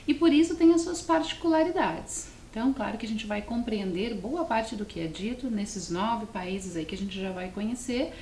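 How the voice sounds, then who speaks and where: A woman with a southern Brazilian accent teaching a class to students, explaining step by step and often asking questions. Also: fairly high pitch. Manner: explanatory, often asks questions and adds checking tags. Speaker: language teacher presenting a televised class. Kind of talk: didactic lesson addressed to students. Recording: roomy echo, faint background noise.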